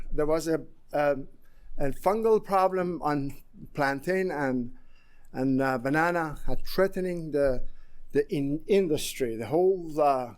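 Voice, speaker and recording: Halting Iranian-accented man in his sixties. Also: on the high side. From Iran. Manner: halting, many fillers and restarts. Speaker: conference speaker. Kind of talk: conference presentation.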